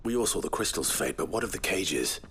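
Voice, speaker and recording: An actor playing a gruff, weary fantasy adventurer in a game cutscene, terse and dryly sardonic, with a husky voice. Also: male voice, expressive, brisk, a bit bright, a little hiss or hum. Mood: grim, dry. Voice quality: husky, gravelly low voice. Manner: terse, dry, understated. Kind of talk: video game cutscene acting.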